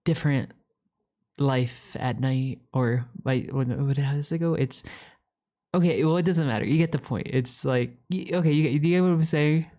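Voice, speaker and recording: Woman voicing a low, mellow, rambling catboy roommate. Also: moderate pace. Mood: playful, teasing. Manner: rambling, stammering, repeats words. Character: playful, needy young catboy roommate, chatty and teasing. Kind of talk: ASMR roleplay, improvised casual chat.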